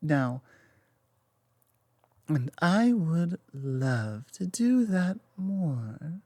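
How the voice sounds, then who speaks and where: A woman playing a loving, softly dominant lamia girlfriend in an intimate ASMR roleplay, tender and earnest, with halting delivery and a sibilant lisp. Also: on the low side, no echo, full character voice. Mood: affectionate.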